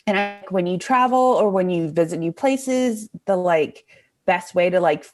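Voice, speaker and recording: A woman's voice: fairly low pitch, animated, measured pace. Enthusiastic young woman in casual interview. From Hong Kong, also raised in Indonesia. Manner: enthusiastic, effusive. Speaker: interview guest. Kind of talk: casual, chatty interview answers.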